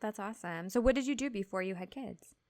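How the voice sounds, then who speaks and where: A woman hosting a podcast interview, enthusiastic, with quick reactions to her guest. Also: medium pitch, darkish tone. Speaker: podcast host. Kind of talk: casual interview chat.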